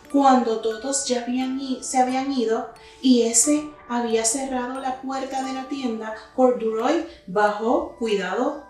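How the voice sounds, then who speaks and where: A young adult woman reading a picture book aloud to children in an expressive, deliberate storytelling style. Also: fairly high pitch. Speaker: children's story reader, teacher. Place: indoor room with wooden cabinet and plants. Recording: very echoey room, distant mic, faint music.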